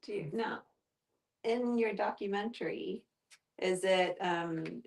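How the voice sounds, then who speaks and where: A woman's voice: medium pitch, slow pace, vocal fry, low-quality recording. A woman in a casual group discussion, tentatively feeling her way through a question.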